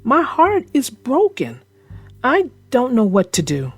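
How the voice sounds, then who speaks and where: A woman's voice: on the high side, huge pitch swings, takes it slowly, slightly rough, no echo, faint music behind, exaggerated voice. A woman voicing a worried, downcast young schoolboy in a children's storybook, plaintive and flustered. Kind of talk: children's storybook character reading.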